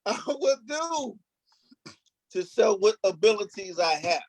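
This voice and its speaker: A man's voice: high voice, sing-song, takes it slowly, darkish tone, dry recording, rough recording. Male stage actor, informal interview delivery, emphatic and repetitive for stress, dry recording with little room. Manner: emphatic, repeats phrases for stress. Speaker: stage play cast member, actor.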